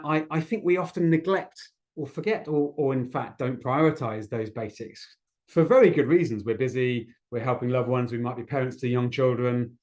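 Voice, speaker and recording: A man's voice: medium pitch, a little mellow. A man hosting an online group discussion in an encouraging, appreciative way. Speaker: host of an online community gathering. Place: online video call.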